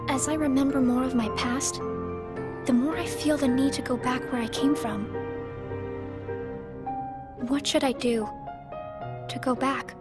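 A teenage girl in a video game with a high, earnest voice. She is solemn and hesitant, yet selfless and quietly determined. Melancholy music swells loudly behind her. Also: fairly even intonation, unhurried, long pauses. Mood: sad, resigned. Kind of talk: dramatic game cutscene acting. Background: loud melancholy music.

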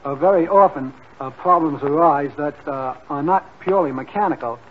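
A hesitant man answers questions on a 1940s radio panel. His voice sits a little high. The broadcast is dull and lo-fi with faint noise. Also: normal speed. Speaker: panel guest on a radio show. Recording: muffled, noisy 1940 radio broadcast.

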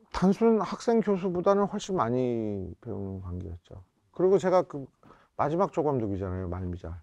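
Halting middle-aged Korean man, dry sound. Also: mid-range voice, very animated, a little mellow, no room sound. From South Korea. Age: 50s. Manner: halting, searching for words while reminiscing. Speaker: film director interviewed about his career. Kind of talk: reflective oral-history interview. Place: indoor interview set before a photo backdrop.

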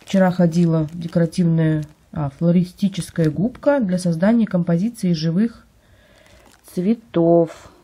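A woman vlogger with a slightly hoarse voice from a cold, chatting casually at home and describing items she holds up for a phone camera. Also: on the low side, fairly even intonation, measured pace, warm tone. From Russia. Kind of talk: casual show-and-tell vlog. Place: at home, indoors.

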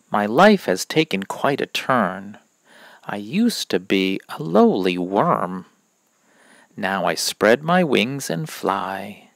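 Slow, expressive man reading to children. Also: on the low side, vocal fry, dry recording. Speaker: read-aloud reader of a children's picture book. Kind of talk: children's story read-aloud of rhymes.

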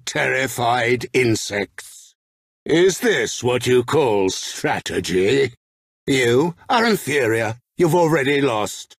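An actor playing a menacing, contemptuous dark lord boss in a video game, slow and commanding with a gravelly, raspy voice. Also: male voice, medium pitch, no echo, studio quality, full character voice. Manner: slow, commanding, scornful taunts.

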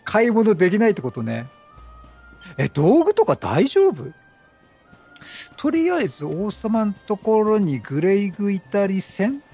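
A man streaming a video game with casual, chatty commentary. His voice is high and slightly rough, swinging widely in pitch as he makes joking reactions. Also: sing-song, unhurried, mellow. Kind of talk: casual let's-play commentary. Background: game music.

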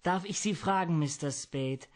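An actor playing a fussy, effete foreign man in a German radio play, excessively polite, formal and halting. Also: fairly high pitch, lightly acted. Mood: unctuous, formal.

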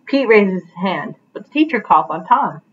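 A woman's voice: medium pitch, lively intonation, moderate pace, slight background noise. Young lady teacher reading a picture book for kids, kinda dull lo-fi webcam audio. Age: young adult. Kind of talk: picture book read-aloud for children. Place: home living room.

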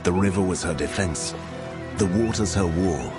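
A man playing God as a solemn, wrathful judge in a dramatized scripture reading, grave, deliberate and menacing. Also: low-pitched, over music, lightly acted. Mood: stern.

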